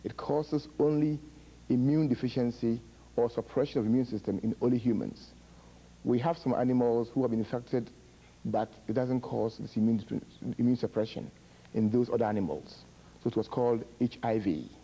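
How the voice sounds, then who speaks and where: Ghanaian-born man, halting explanations. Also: medium pitch, mellow, husky, lo-fi sound. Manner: measured, halting, with repeated words and fillers. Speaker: public health project director, guest. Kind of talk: explanatory interview answers.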